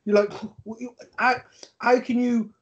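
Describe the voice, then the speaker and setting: A high-voiced Englishman in his thirties hosts a casual conversation. He is wordy and thinks aloud as he goes, on a somewhat dull, lo-fi recording. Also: level tone, measured pace. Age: 30s. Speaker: podcast host, MMA coach. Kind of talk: casual chat. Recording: dull, lo-fi sound.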